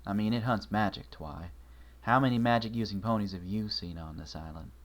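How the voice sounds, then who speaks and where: A calm, wry man with a low voice, read by a male narrator. He talks in a dry, measured, matter-of-fact way, like a steady companion in an audiobook. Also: close to an everyday voice. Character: calm, wry man comforting his friend.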